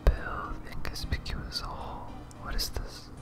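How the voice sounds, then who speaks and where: A gamer speaking in a breathy whisper, giving soft, rambling ASMR commentary while playing a video game. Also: measured pace, over loud music.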